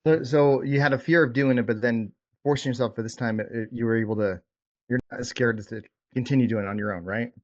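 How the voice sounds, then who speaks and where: A man's voice: mid-range voice, steady tone, a little fast. A man hosting a casual group coaching session over a video call, informal and encouraging as he checks in with participants. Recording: dull, lo-fi video call audio.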